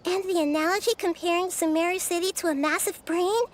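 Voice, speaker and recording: A composed, wise young girl from an anime game, speaking very high. The words are measured and articulate, with clear noise in the background. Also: fairly even intonation. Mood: pleased. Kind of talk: anime video game voice acting.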